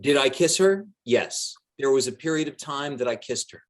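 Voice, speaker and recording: A man's voice: mid-range voice, expressive, voice pushed up, close to an everyday voice. A man playing an evasive, pedantic politician under questioning in a dramatic transcript reading. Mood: defensive, evasive. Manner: deliberate, dodging, pedantic.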